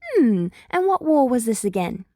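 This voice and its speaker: A woman's voice: sits high, very animated, moderate pace, a little mellow, no room sound, clean studio sound, voice pushed up. A woman voicing a cheerful, shrewd little male animal principal in an audiobook-style story, blithe and inquisitive.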